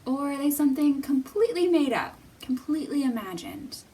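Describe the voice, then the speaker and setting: A woman hosting a children's storytime, speaking in simple, deliberate, teacherly phrases with pauses and questions for young viewers. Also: sits high, big pitch swings, dark tone, distant mic. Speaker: children's library storytime host.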